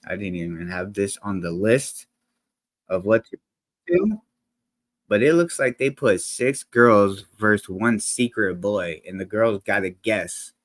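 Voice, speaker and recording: A man's voice: on the low side, lively intonation, measured pace, no echo. A man hosting a casual reaction video. He talks to the viewers in slangy, rambling speech with many restarts and fillers, into a desk microphone that sometimes peaks. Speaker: reaction channel host. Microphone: desk microphone, sometimes peaking.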